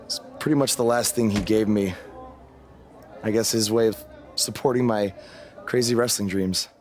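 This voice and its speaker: An actor playing a modest, wry young underdog wrestler in video game dialogue, speaking casually and a little hesitantly. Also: male voice, fairly low pitch, steady tone, moderate pace, darkish tone, close to an everyday voice. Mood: modest, reflective. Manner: casual, hesitant conversational delivery.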